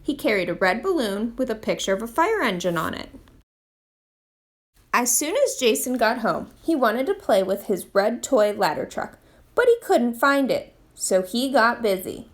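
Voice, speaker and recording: A woman reading a children's picture book aloud in an expressive storytime style. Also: fairly high pitch, normal speed. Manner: expressive read-aloud delivery. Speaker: storytime reader, literacy program manager.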